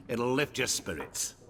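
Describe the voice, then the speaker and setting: An actor playing a sly, ingratiating vagrant merchant in a fantasy video game, with a florid, wry, theatrical delivery. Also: male voice, mid-range voice, noisy background. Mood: knowing. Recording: tavern crowd behind.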